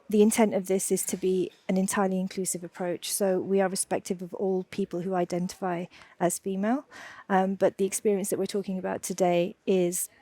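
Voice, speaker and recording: Panel moderator, upbeat woman, mellow tone, dry close sound. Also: medium pitch, no room sound. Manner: upbeat, brief prompts. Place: conference stage.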